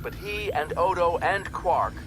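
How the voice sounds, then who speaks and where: Man voicing a harsh, commanding alien woman, raised pitch. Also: animated, unhurried, noisy background. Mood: grim, businesslike. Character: harsh, commanding female alien scientist over helmet speakers. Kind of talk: audiobook character acting.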